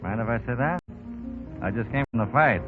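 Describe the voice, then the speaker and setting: An actor playing a smooth, shrewd gangster boss, with a brisk streetwise manner in 1930s radio drama style. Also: male voice, mid-range voice, lightly acted. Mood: sly, confiding. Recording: muffled 1930s radio recording with music.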